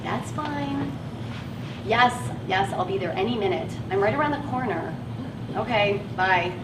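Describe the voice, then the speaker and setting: A woman's voice: medium pitch, expressive, measured pace, lightly acted. An actress on stage playing a frustrated working woman who drives a van and feels stuck in her job. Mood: polite, frustrated. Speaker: actress in a one-act play. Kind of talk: live stage play acting. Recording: echoey, distant, noisy live stage recording.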